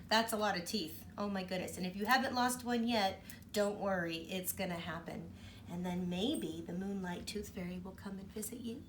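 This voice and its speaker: A woman's voice: medium pitch, moderate pace, recorded from a distance, a little hiss or hum. A woman teacher reading a storybook aloud to children, stopping often to ask them questions. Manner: expressive, asks the children questions. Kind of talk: storybook read-aloud for kids.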